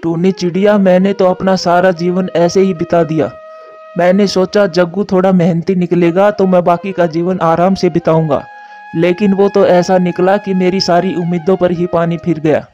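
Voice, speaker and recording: A man plays a weary old cartoon father bird, mellow and unusually high for a male. He laments plaintively, full of disappointment. The audio is dull and lo-fi, with music beneath. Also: little pitch movement, moderate pace, close to an everyday voice. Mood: bitter lament. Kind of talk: Hindi cartoon voice acting.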